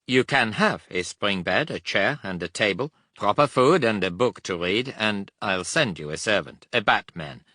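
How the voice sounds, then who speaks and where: A man's voice: lowish voice, lively intonation, moderate pace, few pauses, pushed and bright, no echo, studio quality. A man voicing a dapper, obliging major who speaks fluently and heartily. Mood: hearty, placating.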